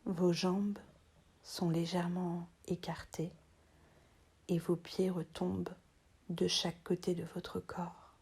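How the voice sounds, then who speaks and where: Very breathy, slow-speaking woman guiding relaxation. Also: on the low side, level tone. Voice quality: soft, breathy, half-whispered. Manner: soothing, unhurried, long pauses between short phrases. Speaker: yoga teacher. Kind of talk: guided relaxation meditation.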